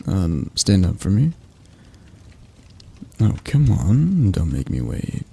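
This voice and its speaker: Casting: male actor as a domineering mafia boss for ASMR roleplay, dark husky voice, flirty and patronizing. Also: low-pitched, huge pitch swings, takes it slowly, full character voice. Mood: coaxing. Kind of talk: intimate ASMR roleplay acting.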